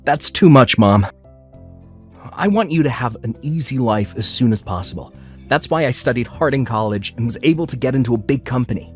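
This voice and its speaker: A man's voice: medium pitch, lively intonation, brisk, natural voice. A man playing a devoted, protective young man in a scripted manga dub, speaking earnestly. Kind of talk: scripted comic dub acting. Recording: music bed under the voice.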